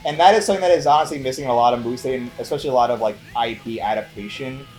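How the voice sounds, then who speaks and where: Male podcast co-host, mid-range pitch, excitable sweary fan delivery, informal review chat. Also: rapid-fire, faint music behind, rough recording. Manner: enthusiastic, profane fan talk. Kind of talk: casual movie review chat.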